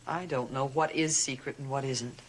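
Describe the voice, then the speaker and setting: An actress playing a confused, troubled young bride-to-be in a hesitant, earnest way, in the style of a 1970s gothic television soap. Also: female voice, a bass voice, fairly even intonation, moderate pace, slight background noise. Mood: confused, worried.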